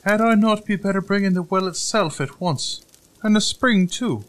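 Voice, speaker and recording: A man's voice: animated, unhurried. A man voicing a small, cheeky, boastful tailor in a fairy tale, with a theatrical, show-off manner. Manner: boastful, theatrical, high-flown.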